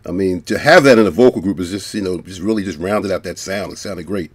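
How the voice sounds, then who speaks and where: A man's voice: low-pitched, fast talker, no room sound. A male radio host asking questions in a casual, enthusiastic way, often adding "man.". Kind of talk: radio interview.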